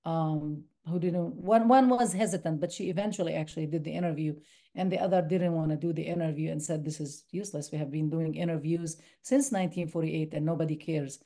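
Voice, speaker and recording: Low mellow-voiced woman filmmaker. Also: brisk. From West Bank. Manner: thoughtful, restarts often. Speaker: documentary filmmaker and guest. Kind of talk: answering interview questions. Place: university screening room.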